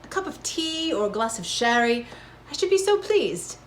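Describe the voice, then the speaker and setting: A woman playing a prim, polite and easily flustered gentlewoman in a dramatized novel reading. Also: on the high side, sing-song, moderate pace. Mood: eager, pleased. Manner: polite, genteel.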